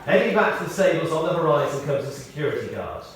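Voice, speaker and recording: A man reading a prepared tribute aloud in short, measured phrases, with wry storytelling. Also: a little high, sing-song. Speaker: tribute speaker. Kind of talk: read-out memorial tribute with anecdotes. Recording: echoey hall, distant, faint noise.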